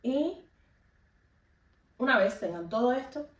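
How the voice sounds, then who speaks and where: A woman's voice: fairly high pitch, expressive, takes it slowly. A woman with a Cuban accent talking casually to viewers as a vlogger, explaining things and giving practical advice in an instructive way. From Cuba. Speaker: vlogger and music teacher. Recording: echoey room, distant microphone.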